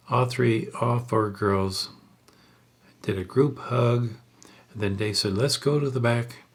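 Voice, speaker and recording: A man telling a story aloud in a halting, measured way, unpolished and in short phrases. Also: lowish voice.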